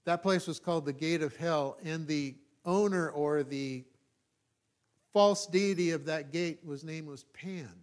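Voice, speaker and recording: Higher-voiced Californian man preaching informally. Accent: Californian American. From California, United States. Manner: folksy, self-deprecating, halting with repeated checks to listeners. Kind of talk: informal, conversational sermon.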